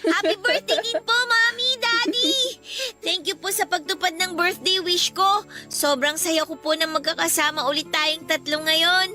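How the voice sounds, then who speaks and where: An actress playing a sweet, polite eleven-year-old daughter in a Filipino radio drama, with a childlike, pleading way of talking. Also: female voice, extremely high pitch, steady tone, moderate pace, music in the background. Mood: happy, excited.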